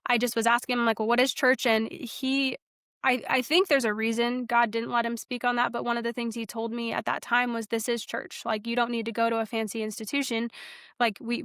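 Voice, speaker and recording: Earnest young woman, smooth dry voice. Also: fairly high pitch, fairly bright tone, dry recording. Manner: earnest, frank, many fillers and restarts. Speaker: guest sharing her testimony. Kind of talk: casual personal storytelling.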